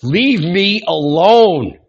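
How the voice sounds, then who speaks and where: A man's voice: sits high, big pitch swings, extremely slow, breathy edge, voice pushed up, exaggerated voice. A man voicing a tormented young carpenter who pleads and argues in anguished outbursts, on a dull lo-fi recording.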